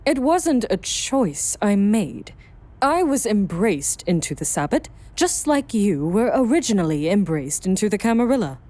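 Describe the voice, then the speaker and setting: A woman's voice: mid-range voice, very animated, a little slow, mellow. A woman playing a worldly, guarded vampire sire in an audio drama, explaining things in dramatic phrasing. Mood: solemn, explanatory. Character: self-exiled female vampire sire, worldly, guarded, brooding.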